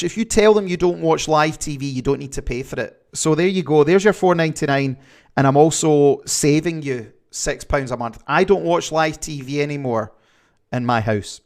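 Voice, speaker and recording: A man's voice: fairly high pitch, normal speed, darkish tone. A man with a Glaswegian accent hosting a livestream, chatting casually and reading viewer comments, rambling with many fillers. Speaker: livestream host.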